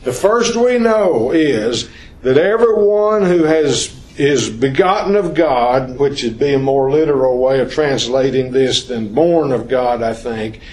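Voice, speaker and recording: A man teaching an informal Bible study lesson, talking slowly and haltingly with restarts and questions to the class. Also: mid-range voice, lively intonation, a little mellow. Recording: echoey room.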